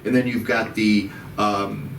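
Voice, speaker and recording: Chicago-area guy explaining stuff slowly, lots of repeats, kinda low voice, sounds far off in a roomy space. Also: steady tone, creaky, fairly bright tone, slight background noise. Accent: Chicago-area American. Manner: explains patiently, repeats words, restarts often. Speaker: title company host. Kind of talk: casual explanatory chat. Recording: echoey room, mic at a distance.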